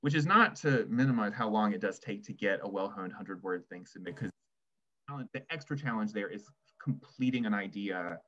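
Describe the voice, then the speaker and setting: A man answering reflectively as a writer on a panel, choosing his words in a measured, deliberate way. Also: fairly low pitch, a bit bright, highs cut off. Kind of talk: reflective Q&A talk.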